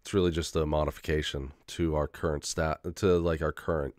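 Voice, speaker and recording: Low-voiced man rambling casually, getting over a cold. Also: little pitch movement, fairly quick, no room sound, clean studio sound. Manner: rambling, many fillers and restarts, casual swearing. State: recovering from a head cold, occasional cough. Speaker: vlogger.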